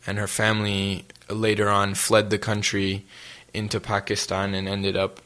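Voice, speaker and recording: Bright, flat-voiced young man. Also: fairly low pitch, normal speed. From Haiti. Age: young adult. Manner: soft-spoken, restarts phrases, laughs lightly. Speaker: interview guest. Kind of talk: casual conversational interview.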